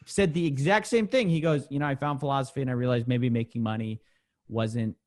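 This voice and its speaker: A man's voice: medium pitch, fairly quick, darkish tone. A man hosting a conversational interview, speaking informally as he sums up and probes with questions. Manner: informal, summing up and probing. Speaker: interviewer, channel host.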